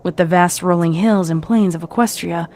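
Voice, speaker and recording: Low-pitched woman narrating a story. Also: moderate pace, darkish tone.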